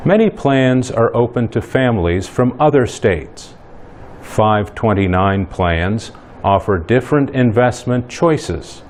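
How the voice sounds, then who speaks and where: A man reading a scripted economics news report slowly and carefully. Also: on the low side, slight background noise. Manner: slow, careful, even delivery. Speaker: news reader.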